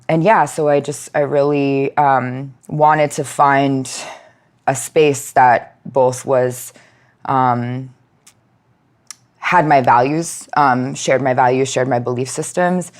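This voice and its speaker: A woman with a strikingly low voice gives a reflective interview. She speaks conversationally, with halting phrases as she searches for what she means. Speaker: nonprofit outreach manager, podcast guest. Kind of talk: reflective personal interview talk.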